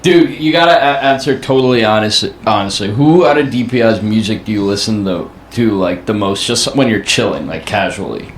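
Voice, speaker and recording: A man's voice: fairly low pitch, fairly quick, few pauses. A man hosting a casual interview, talking eagerly and leaning on slang like "bro" and "dude". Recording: echoey room with faint hiss.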